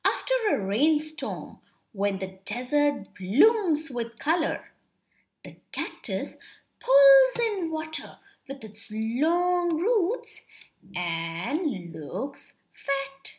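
A young woman reads a children's picture book aloud expressively and deliberately, drawing out words. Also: high-pitched, wildly swooping pitch, a little mellow. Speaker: storybook reader for children.

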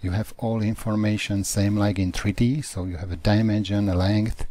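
A man who does not speak natively, teaching CAD software in a halting, careful way over a screen recording. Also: on the low side, fairly even intonation, no room sound. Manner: slow, halting phrasing with non-native grammar.

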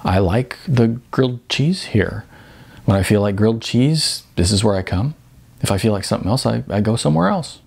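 Man doing a shy, stammering diner regular, husky. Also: lowish voice, lively intonation, moderate pace, darkish tone, exaggerated voice. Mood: hesitant. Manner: hesitant, stammering, embarrassed. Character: shy, awkward, polite male diner regular.

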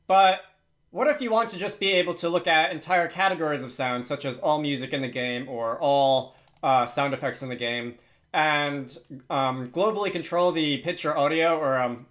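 A man with a mid-pitched voice hosts a screen-recorded programming tutorial. He talks informally and somewhat hesitantly, thinking aloud as he works. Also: fairly bright tone. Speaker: tutorial host. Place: indoors at a computer, screen recording with typing.

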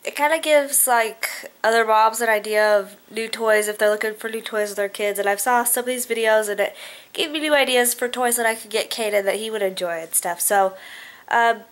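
A woman's voice: a little high, fairly quick. A 21-year-old woman, a stay-at-home mom, chatting casually in a home vlog with a stuffed-up nose from a cold, rambling with lots of "um" and "like". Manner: chatty, rambling, heavy on fillers like um and like. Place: living room at home, indoors. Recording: noisy home camera audio.

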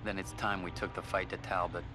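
Wary scientist on the run male actor: deep, grave, terse video game lead, noisy recording. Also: flat delivery, brisk, lightly acted. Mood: guarded. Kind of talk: video game dialogue acting.

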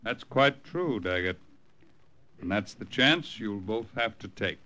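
A man acting a matter-of-fact colonel in an old radio war drama, measured and authoritative. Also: on the low side, lively intonation. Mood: firm, measured. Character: firm, matter-of-fact male army colonel briefing his agents.

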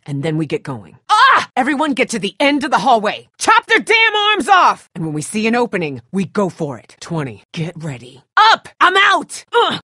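A tough woman survivor in a dramatic video game, with a low, husky voice. She is blunt and no-nonsense yet caring, and her pitch moves widely as she speaks. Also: bright, forceful tone, no echo, studio quality, full character voice. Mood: tense, blunt, then frantic, commanding.

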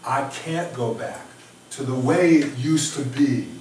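A middle-aged man from Oklahoma with an American accent, preaching an informal sermon in a halting, reflective way with emphatic pauses. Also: medium pitch, a little mellow. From Oklahoma, United States. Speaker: pastor preaching. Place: small church sanctuary, indoors. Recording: echoey church, distant microphone, faint noise.

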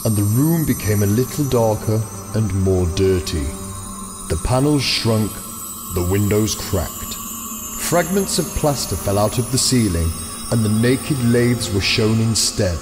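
A man narrating a scripted audio drama as a storyteller, in a measured manner. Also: medium pitch, lively intonation. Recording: long reverb, music behind.

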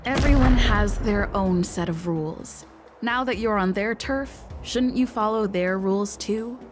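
A woman's voice: medium pitch, unhurried, lightly acted. A woman voicing a shrewd, assertive young female negotiator in a video game, speaking articulately. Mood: challenging. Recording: music under the voice.

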